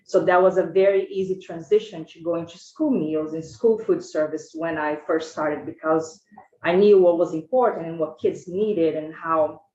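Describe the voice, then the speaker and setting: A woman's voice: low-pitched, normal speed, darkish tone. Brazilian-accented woman testifying, echoey room. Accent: Brazilian Portuguese. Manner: informal, self-correcting, earnest. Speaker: school food service director testifying. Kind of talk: committee testimony. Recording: echoey, muffled hearing room audio.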